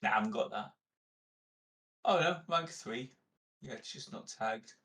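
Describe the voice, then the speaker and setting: A man's voice: medium pitch, expressive, measured pace, a bit bright. A man playing a tabletop roleplaying game, talking casually and negotiating with the others.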